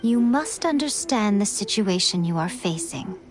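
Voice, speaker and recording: A poised, scheming shrine priestess from a video game. She speaks at a middle pitch in measured, articulate phrases with playful condescension. Music is clearly audible behind her. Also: very animated. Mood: composed. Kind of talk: dramatic game character acting.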